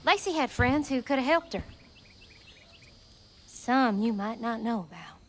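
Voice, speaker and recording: A woman's voice: fairly high pitch, animated, a little slow. An actress with a Southern US accent playing a caring, guarded small-town woman preacher in a video game. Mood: sympathetic, guarded. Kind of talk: naturalistic dramatic game acting.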